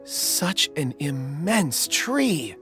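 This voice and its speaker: A man's voice: fairly high pitch, sing-song, drawn-out words, over music, full character voice. An actor playing a mild, contemplative man in a video game, polite and somewhat hesitant in his speech. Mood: thoughtful, polite. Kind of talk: video game character acting.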